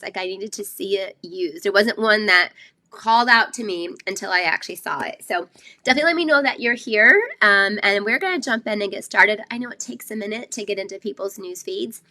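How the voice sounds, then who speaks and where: A woman's voice: mid-range voice, bright, forceful tone. An older woman hosting a live craft class stream, chatty and rambling with lots of fillers, sounding a bit nasal from a cold. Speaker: craft show host.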